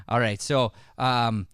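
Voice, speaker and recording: A man's voice: medium pitch, lively intonation, extremely slow, fairly bright tone, dry recording. A man with a put-on Irish accent, hosting a live improv show with casual banter to the audience on a close microphone. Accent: put-on stage Irish. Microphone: close podcast microphone.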